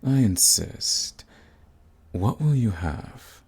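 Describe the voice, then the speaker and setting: Seductive male vampire lord, played by a man, very low and husky, courtly menace, purring sadist for ASMR roleplay. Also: animated, extremely slow, a little mellow, full character voice. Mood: teasing, insistent. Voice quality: gravelly, husky. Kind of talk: ASMR roleplay, scripted monologue to the listener.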